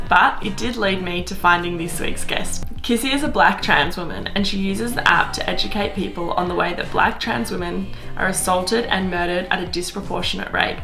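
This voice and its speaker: Casual female host over soft music. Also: fairly low pitch, vocal fry, roomy sound, low-quality recording. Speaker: host of a video series. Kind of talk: casual scripted vlog narration. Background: faint background music.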